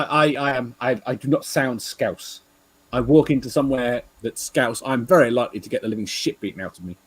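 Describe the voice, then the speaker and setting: Husky, halting Englishman. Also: medium pitch, no room sound, poor audio. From England. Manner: halting, repetitive, sweary. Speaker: talk show participant. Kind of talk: casual, rambling discussion.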